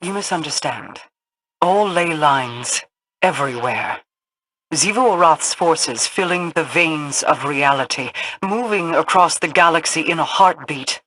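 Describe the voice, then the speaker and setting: A woman's voice: sits low, expressive, measured pace, fairly bright tone, no echo, exaggerated voice, rough recording. An actress playing a regal, solemn queen who speaks cryptically and imperiously in a video game. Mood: grave.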